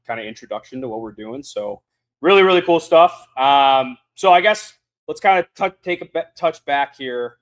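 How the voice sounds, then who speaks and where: Chill guy hosting a podcast, lots of um and kinda, normal mid voice. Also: mid-range voice. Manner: laid-back, filler-heavy, says "kinda" often. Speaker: podcast host. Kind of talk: casual podcast interview.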